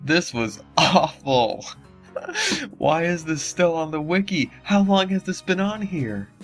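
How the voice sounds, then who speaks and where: A man's voice: a little high, expressive, slow. A man reading a story in a mock-dramatic way, breaking into casual, sarcastic and exasperated commentary. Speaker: narrator reading and mocking a horror story. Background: background music under the voice.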